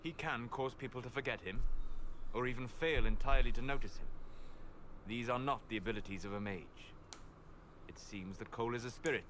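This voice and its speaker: A man plays a wise outcast mage in a fantasy game. The voice sits at a middle pitch, calm and scholarly, setting out each point with precision. Faint ambience sits behind it. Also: slight background noise, natural voice. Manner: measured, precise, explanatory. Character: calm, learned apostate mage, measured and wise. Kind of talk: video game voice acting.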